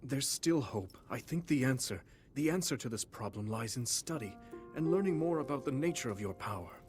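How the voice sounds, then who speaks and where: An actor playing a wise non-human king, speaking in a calm, reassuring, deliberate way. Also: mid-range voice, faint music behind, natural voice. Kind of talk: game cutscene voice acting.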